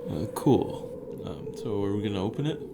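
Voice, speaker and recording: A woman's voice: a bass voice, lively intonation, normal speed, heavy background noise. A woman playing a wary, sardonic companion with a knowing streak in an audio drama. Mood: skeptical.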